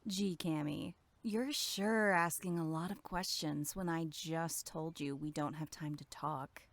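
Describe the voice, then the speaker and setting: A woman plays a young female camp counselor with a slightly low voice and a short fuse. She is curt and sardonic, acting in a horror audio drama with a snippy edge. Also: fairly even intonation, a little slow, no room sound. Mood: snippy, impatient.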